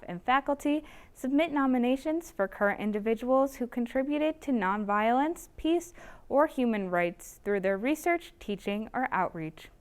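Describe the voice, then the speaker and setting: A young woman anchoring a student newscast with a bright, upbeat read. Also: high-pitched, clear, resonant tone. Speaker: news anchor.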